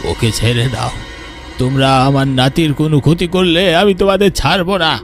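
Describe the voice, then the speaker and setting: A commanding old grandfather's ghost, voiced by a man, fiercely protective. The voice is pushed higher, in a cartoon horror tale with music underneath. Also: fairly even intonation, normal speed, close to an everyday voice. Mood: fierce, threatening.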